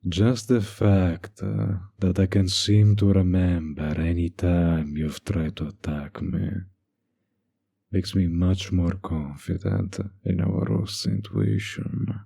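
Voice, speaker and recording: A man acts out a silky villain in a flirtatious audio roleplay. His voice is deep and dark with a gravelly creak, recorded dry and close. He draws out his words with teasing, manipulative politeness. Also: slow, dry recording, full character voice. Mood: teasing, smug. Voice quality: low, breathy, gravelly.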